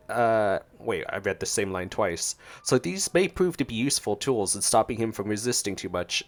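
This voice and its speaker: Playful male gaming streamer, mid-pitched voice. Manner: playful, joking, reads dialogue aloud. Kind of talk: casual gameplay reaction commentary. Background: game music.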